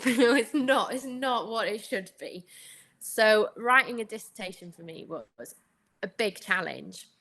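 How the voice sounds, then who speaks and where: A woman's voice: medium pitch, gravelly, pushed and bright. A young woman with a Welsh accent, Welsh her first language, answering interview questions in a chatty way, laughing often between her points. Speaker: guest, anaesthetics trainee.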